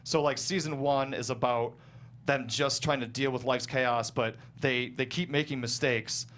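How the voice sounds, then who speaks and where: A man talking casually as a guest screenwriter, explaining his work in a halting, self-correcting way. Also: medium pitch, fairly even intonation, fairly bright tone. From small town in the United States. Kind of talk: casual panel discussion of own script. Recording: clear background noise and hum.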